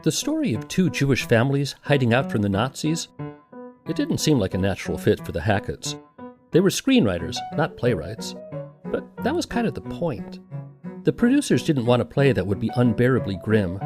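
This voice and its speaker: A man's voice: medium pitch, huge pitch swings, moderate pace, music in the background. A man narrating a scripted documentary podcast in a conversational, wry manner.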